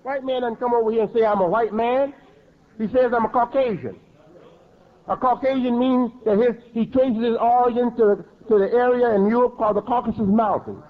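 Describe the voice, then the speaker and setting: A man preaching with a very high voice, pressing each point hard and circling back to repeat words. The sound is thin and tinny, like an old phone-line recording. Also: fairly even intonation, a little fast. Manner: emphatic, repeats and rephrases words. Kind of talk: sermon.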